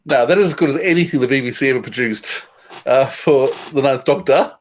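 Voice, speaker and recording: A man's voice: medium pitch, fairly quick, a little hiss or hum. A man hosting a podcast interview in a casual, enthusiastic and admiring way. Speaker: podcast host.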